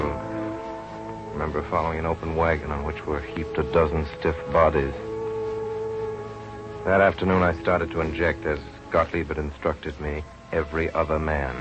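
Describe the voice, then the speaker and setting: Deep-voiced earnest radio-drama doctor. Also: male voice. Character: earnest, driven male doctor and scientist, narrating his story. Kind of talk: 1930s radio drama acting. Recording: muffled old radio recording with music.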